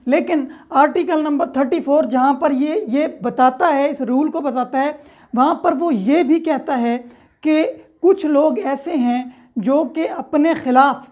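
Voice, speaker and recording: A woman's voice: sits high, darkish tone. A woman with a Pakistani accent giving an explanatory law lecture, repeating and rephrasing her points in a didactic way. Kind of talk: explanatory lecture mixing Urdu and English legal terms.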